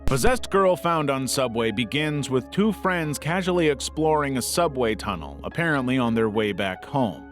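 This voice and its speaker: A man's voice: medium pitch, lively intonation, moderate pace, hardly any pauses. A man reading a scripted video essay as its narrator. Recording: music under the voice. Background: background music.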